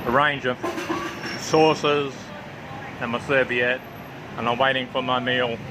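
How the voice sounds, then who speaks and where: A man's voice: medium pitch, level tone, slow pace, background noise. Chatty Kiwi-Aussie man at a street cafe. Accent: New Zealand and Australian. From New Zealand. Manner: chatty, plain-spoken, repeats himself for emphasis. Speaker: travel vlogger. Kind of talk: casual restaurant walkthrough vlog. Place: outdoor riverside cafe with traffic nearby.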